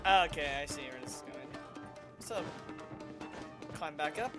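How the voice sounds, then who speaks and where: A streamer commentates casually on a game at a slow, unhurried pace. The talk is offhand and reactive, with loud game music behind it. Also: medium pitch, expressive. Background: loud video game music.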